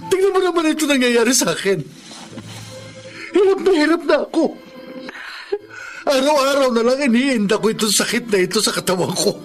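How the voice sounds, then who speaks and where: An actor with a Filipino accent playing a bitter, despairing husband in pain in a radio drama, anguished and emotional. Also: male voice, very high voice. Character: despairing sick husband in constant pain, bitter and guilt-ridden. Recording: dramatic music behind the voice.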